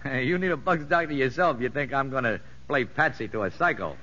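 A man's voice: mid-range voice, fairly quick, bright, forceful tone, a little hiss or hum, natural voice. An American man playing a cocky, nosy veteran convict safecracker with brash, slangy wisecracks in old radio comedy-drama style. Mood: nosy, wary. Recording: muffled old radio broadcast.

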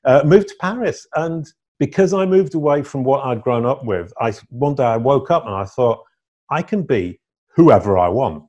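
A man's voice: mid-range voice, moderate pace, lo-fi sound. A middle-aged man, a guest telling stories in a casual interview with playful emphasis. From England. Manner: animated storyteller, playful emphasis.

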